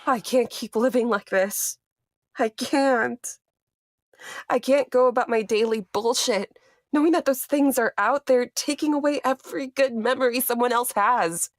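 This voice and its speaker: A woman's voice: a little high, measured pace, no room sound, clean studio sound, lightly acted. A woman playing an anxious, haunted but determined character who narrates her own horror story in a tense, confiding way. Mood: pleading, determined. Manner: tense confiding first-person narration, emotional. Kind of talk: horror audio drama narration and acting.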